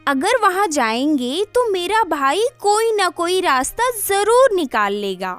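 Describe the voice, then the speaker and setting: Cartoon farmer's wife female actor: high, lively, persuasive, light music behind. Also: measured pace, no echo, full character voice. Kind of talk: animated cartoon dialogue.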